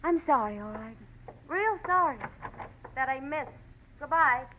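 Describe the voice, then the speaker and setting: A scheming young dame in a vintage radio thriller, sharp-tongued and sarcastic. Her highish voice swings dramatically, heard through a muffled old recording. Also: animated, a little slow, a little hiss or hum. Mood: bitter. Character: sarcastic, scheming, pleading femme fatale young woman. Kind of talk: 1940s radio drama acting.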